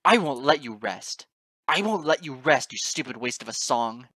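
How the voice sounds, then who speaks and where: Furious fantasy pony hero played by a male narrator: raised, forceful, bright shouting, desperate and defiant. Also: mid-range voice, animated, moderate pace, no echo, studio quality, voice pushed up. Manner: shouting, snarling, ranting. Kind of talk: dramatic fantasy story reading.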